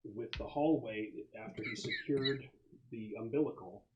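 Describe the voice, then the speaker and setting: A man working as game master, describing scenes and answering questions in a halting, explanatory way during a tabletop game. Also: mid-range voice, dull audio, noisy background.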